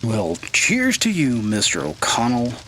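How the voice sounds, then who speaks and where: An actor playing a cocky young skier in an audio drama, offhand and easily irritated. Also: male voice, mid-range voice, wildly swooping pitch, unhurried, sharp tone, a little hiss or hum, heavily acted, low-quality recording. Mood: dismissive.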